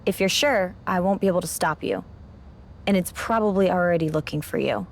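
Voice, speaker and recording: A woman playing a seasoned wrestling champion in a video game, speaking gravely and cautioning like a keeper of dangerous secrets. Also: lowish voice, a little fast, a little hiss or hum. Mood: solemn. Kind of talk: cinematic game dialogue.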